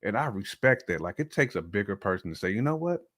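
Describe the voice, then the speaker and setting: A man's voice: on the low side, sing-song, fast, fairly bright tone. A man talking casually as a podcast guest, in a colloquial, slangy way with frequent check-in phrases. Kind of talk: casual chat, telling personal views.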